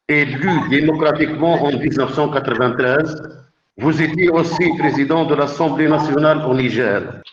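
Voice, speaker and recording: Formal, higher-pitched man, echoey sound. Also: slight background noise. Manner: formal, deferential. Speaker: interviewer, journalist. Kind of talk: formal scripted interview questions. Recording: muffled, echoey remote call.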